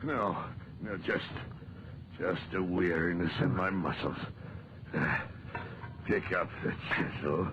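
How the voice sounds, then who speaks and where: An actor playing an obsessed, feverish man in a 1940s radio horror play, halting and repeating his words. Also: deep voice, dull audio. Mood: agitated. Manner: halting, muttering, repeats words, bursts into defiant shouting. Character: obsessed, feverish man chiselling at an ancient statue. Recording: noisy band-limited 1940s radio recording.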